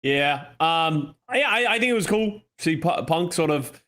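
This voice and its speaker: A man's voice: a little high, animated, moderate pace, a bit bright. A man giving casual match analysis on a podcast panel. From Australia. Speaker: esports podcast panelist, former pro player.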